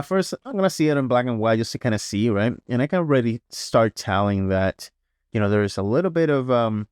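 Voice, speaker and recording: A man teaching a digital painting paintover, sounding congested and a little hoarse, talking casually with frequent "right?" checks and small restarts. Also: on the low side, darkish tone, no echo, clean studio sound. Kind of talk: tutorial voice-over.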